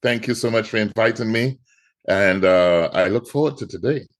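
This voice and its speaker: A man's voice: lowish voice, normal speed. A middle-aged man with a Trinidadian accent, telling his story as a podcast guest, often repeating words as he goes. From Trinidad and Tobago. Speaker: guest artist. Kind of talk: podcast interview.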